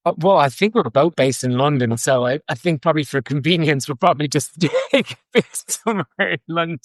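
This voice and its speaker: A man from Ireland with an Irish accent, a guest in an interview, talking fast and eagerly with frequent restarts. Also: fairly high pitch, expressive, no echo. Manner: enthusiastic, fast, repeats words and restarts. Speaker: guest, fintech co-founder. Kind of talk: casual interview talk. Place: London.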